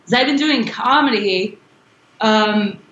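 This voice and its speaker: A woman's voice: medium pitch, takes it slowly, fairly bright tone, roomy sound, highs cut off. A woman guest on a podcast interview, chatting casually in a rambling, giggly way with a strong voice. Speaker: musician guest.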